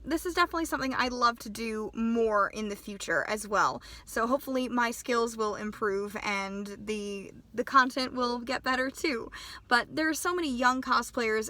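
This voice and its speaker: Vlogger, female, mid-20s, fairly high, bright voice, a bit stuffy from a sinus infection, chatty. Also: dry recording. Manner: chatty and self-deprecating, laughs at herself. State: recovering from a sinus infection, voice not back to normal. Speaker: cosplay vlogger. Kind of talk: casual vlog chat.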